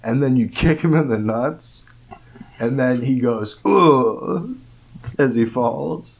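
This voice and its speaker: Slow-talking guy on a comedy podcast, voice jumps all over, laughs a ton. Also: mid-range voice, animated, vocal fry. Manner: laughs often, riffs absurd bits. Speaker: podcast co-host. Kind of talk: casual comedy chat.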